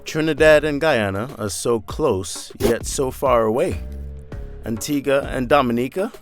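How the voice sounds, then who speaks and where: A man's voice: mid-range voice, unhurried, soft background music. A man narrating a news feature in a scripted, conversational voice-over, asking rhetorical questions.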